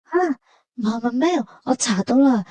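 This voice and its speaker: High, soft-toned Hong Kong Cantonese woman. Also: lively intonation, unhurried, no echo. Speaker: travel vlog host. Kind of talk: scripted travel narration and dialogue.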